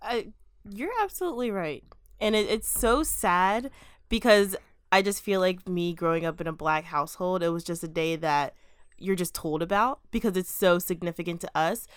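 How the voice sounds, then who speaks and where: A young adult woman co-hosting a casual podcast, speaking in an animated, supportive way with plenty of "like". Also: on the low side, moderate pace, clear, resonant tone, no echo, clean studio sound. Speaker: podcast co-host.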